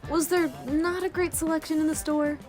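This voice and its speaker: A woman's voice: sits high, little pitch movement, moderate pace, over music, lightly acted. A woman playing a sardonic, crude, teasing rogue of a space crew in an audio drama, with a sarcastic, needling delivery.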